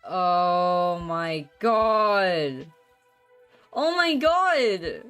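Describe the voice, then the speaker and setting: A woman streaming a read-along of a visual novel, with expressive, exclamatory asides. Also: on the low side, extremely slow, clear, resonant tone, soft background music. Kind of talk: livestream read-along with reactions.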